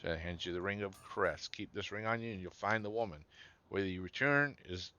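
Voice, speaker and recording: A man's voice: low voice, fairly quick, bright, forceful tone, dull audio. A man with a scratchy voice reading out the lines of a greedy, sly woman who trades at a forge, keeping to his own voice. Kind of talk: reading game dialogue aloud.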